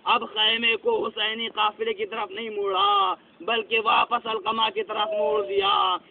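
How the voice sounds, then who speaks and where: A man reciting a lamenting religious narration, shouting and wailing with deep feeling. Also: big pitch swings, creaky. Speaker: majlis reciter. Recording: tinny phone-like with faint noise.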